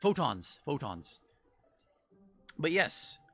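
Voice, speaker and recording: A bright, forceful-voiced man chats loosely as a guest developer, restarting sentences and chuckling as he goes. He sounds like he is on a remote call mic, with faint music playing behind him. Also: fairly high pitch, big pitch swings, extremely slow, creaky, husky. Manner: rambling, self-interrupting, laughs often. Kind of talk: casual stream chat.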